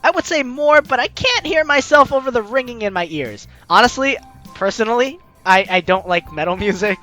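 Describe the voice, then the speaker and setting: Animated, bright-voiced gaming host. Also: medium pitch, a little fast. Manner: animated, playful, slangy. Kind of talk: lively let's play, reading aloud and reacting. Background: game music.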